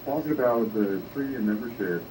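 A man's voice: mid-range voice, a little fast, a little mellow. A man calling in to a radio show by phone, chatting casually. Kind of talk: casual chat. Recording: distant, noisy phone call.

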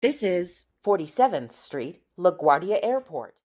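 Slow-paced female transit announcer. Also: fairly low pitch, big pitch swings, a little mellow. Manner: measured, formal, segmented delivery. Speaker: train announcer. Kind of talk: scripted transit announcement, spliced clips.

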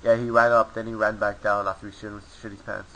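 A man's voice: lowish voice, level tone, fast talker, a bit bright, poor audio. A man talking casually while gaming with a friend, brash and crude, joking around. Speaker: gamer.

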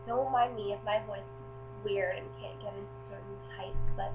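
A woman's voice: medium pitch, fairly even intonation, normal speed, slight background noise. A teenage girl getting over a cold, chatting casually with many fillers before singing a song cover, recorded on a webcam mic in her small bedroom. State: recovering from a cold. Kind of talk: casual webcam chat, then singing along to an instrumental. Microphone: built-in webcam mic at close range. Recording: lo-fi webcam audio with backing music.

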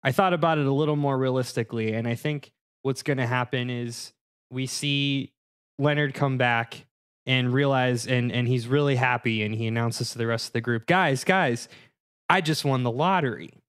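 A man's voice: medium pitch, normal speed, fairly bright tone, smooth. A man hosting a podcast discussion in a mock-serious, conversational way. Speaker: podcast host.

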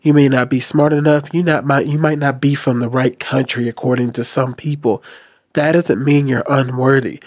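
A man's voice: mid-range voice, fairly even intonation, normal speed, a little mellow, no room sound, clean studio sound. Earnest man giving advice to camera. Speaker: host. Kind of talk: reflective commentary to camera. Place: small decorated room indoors. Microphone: desk mic in front.

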